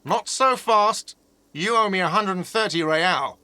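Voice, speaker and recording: Bright-voiced, exasperated hostel manager. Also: male voice, mid-range voice, lively intonation, unhurried, dry recording. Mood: sarcastic, exasperated. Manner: sarcastic, irritated, condescending. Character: exasperated, sarcastic male hostel cafeteria manager. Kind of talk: video game voice acting.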